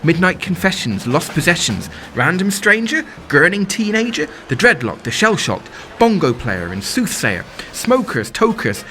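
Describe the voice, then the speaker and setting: A man performing a dramatic first-person monologue as a lovestruck, excitable young festival-goer, with poetic, rhythmic delivery. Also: on the high side, moderate pace, few pauses, a little hiss or hum. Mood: rhythmic, euphoric. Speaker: solo performer. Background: music and sound design behind the voice.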